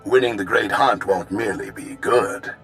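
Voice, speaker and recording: A man's voice: lowish voice, normal speed, fairly bright tone, faint music behind, natural voice. A man voicing a smug, gloating bounty hunter villain in a video game, theatrical and articulate.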